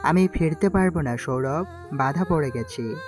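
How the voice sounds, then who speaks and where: A man's voice: fairly high pitch, moderate pace, lightly acted. A man voicing a brooding, vengeful gangster in an audio story, curt and commanding. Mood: grim, resolute. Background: faint music.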